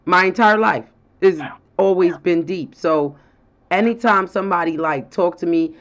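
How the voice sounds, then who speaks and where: A woman with a deep voice, co-hosting a casual talk show and telling stories with frequent laughter. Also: moderate pace. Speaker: co-host. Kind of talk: casual chat. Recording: dull lo-fi sound.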